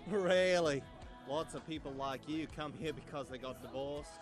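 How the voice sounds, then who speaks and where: A student actor playing a gossipy, credulous tavern drinker in a live audio drama. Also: male voice, fairly high pitch, moderate pace, natural voice. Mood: amused. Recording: music behind, live radio studio.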